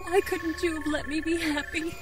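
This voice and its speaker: An actress playing a distraught but defiant captive woman in an audio drama, halting and pleading with raw emotion. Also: very high-pitched, flat delivery, music in the background.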